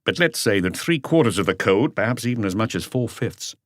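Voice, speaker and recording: A man voicing a world-weary veteran police inspector in an audiobook, terse, measured and reflective. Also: on the low side, animated, dry recording, studio quality, pitched above the performer's own voice, lightly acted. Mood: wry, reflective. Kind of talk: audiobook character dialogue.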